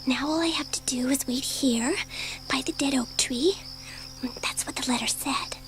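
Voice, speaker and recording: A woman's voice: sits high, moderate pace, a bit bright, faint music behind. A woman playing an eager, impulsive, breathless schoolgirl in a dramatised children's audiobook. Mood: hushed, secretive. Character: bored, impulsive, excitable schoolgirl dabbling in magic.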